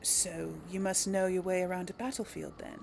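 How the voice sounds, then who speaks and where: A woman's voice: fairly low pitch, little pitch movement, moderate pace, creaky, a little mellow, a little hiss or hum. A woman playing a role-play video game, talking in a casual, musing way as she comments and voices dialogue choices. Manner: giggly, musing. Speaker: gamer hosting a role-play let's play.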